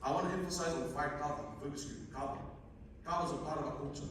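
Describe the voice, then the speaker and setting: A young man from Fiji with a Fijian accent gives a conference presentation as a student researcher, reading in a halting way with stumbles. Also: a little high. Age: young adult. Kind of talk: conference presentation, partly read from notes. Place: indoor conference room at a university. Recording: echoey hall, distant mic, noisy room.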